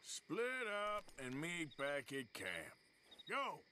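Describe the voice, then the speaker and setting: An actor playing a gruff, dry-witted cowboy outlaw in a video game drama, terse, bossy and deadpan. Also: male voice, on the high side, takes it slowly, slight background noise, exaggerated voice.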